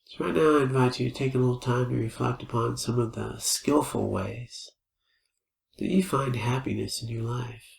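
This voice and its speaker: A man leading a guided meditation as a teacher, speaking in gentle, unhurried invitations with pauses. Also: medium pitch, creaky. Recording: roomy, echoing space.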